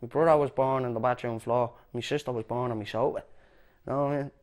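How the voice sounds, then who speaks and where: A man with a Dublin accent speaking earnestly in an interview about his home. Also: medium pitch.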